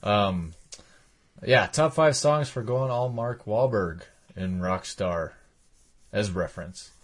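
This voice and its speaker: A man's voice: on the low side, slow pace. Rambling, laughing male podcast host. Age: adult, past high school. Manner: rambling, laughs often, many likes and restarts. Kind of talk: casual chat.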